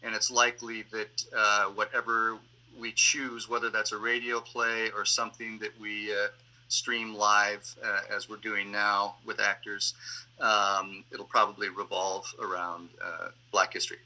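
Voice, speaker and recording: A man's voice: on the low side, little pitch movement, measured pace, sharp tone, rough recording. A man speaking as a guest on an online panel, answering casually in a hesitant, piecemeal way. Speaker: panel guest, theatre radio play producer. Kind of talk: casual discussion, answering questions.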